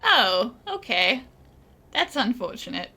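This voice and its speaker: Female gamer commentator: casual and wry, forceful bright tone, very animated intonation. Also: a little high, slow, breathy edge.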